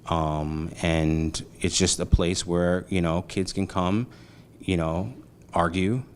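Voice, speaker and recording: Deep-voiced Brooklyn man in his forties. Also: slight background noise. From Brooklyn, New York. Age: 40s. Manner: relaxed, slangy, many fillers. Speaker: guest. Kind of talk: casual interview chat.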